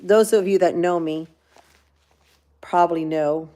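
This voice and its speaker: A woman's voice: on the low side, fairly even intonation, unhurried, dark tone. A woman with a Cuban accent who grew up in Florida, hosting a casual livestream and rambling to her viewers as she reads their comments. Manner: rambling, talks straight to viewers, many fillers. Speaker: livestream host.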